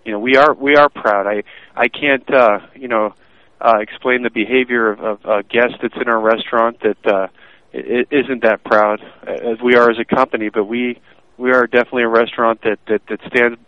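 A man's voice: medium pitch, fairly even intonation, fairly quick, dry recording. A restaurant manager answering a phone call, hesitant and apologetic, stammering as he goes. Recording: muffled phone line.

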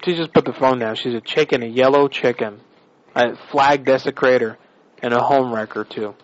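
A man's voice: medium pitch, normal speed, no echo. A man making a prank phone call on a radio show, badgering and bossy in his accusations.

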